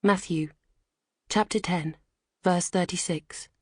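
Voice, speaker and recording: A woman narrates a historical story in a solemn, measured manner. Her voice is fairly low and very breathy, recorded close and dry with little room around it. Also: steady tone, no echo. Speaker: audiobook narrator. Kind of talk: scripted dramatic audiobook narration.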